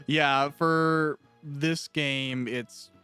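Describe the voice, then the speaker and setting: A man's voice: on the high side, steady tone, extremely slow, sharp tone, soft background music. A man hosting a casual, conversational interview as he chats with his guest. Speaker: interviewer, host of an audiobook cast interview.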